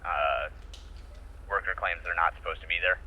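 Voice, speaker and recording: A man's voice: low voice, steady tone, moderate pace, narrow, tinny audio. A man giving procedural police dispatch calls over a radio, as in online roleplay. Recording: radio channel over game vehicle noise.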